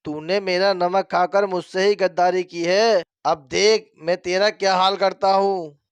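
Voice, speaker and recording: A man's voice: sits high, fairly even intonation, unhurried, clear, resonant tone, dry recording. A man voicing a vengeful, bullying male ghost who scolds and threatens in a dramatized horror story. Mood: menacing, scornful. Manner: scolding, threatening, insult-laden.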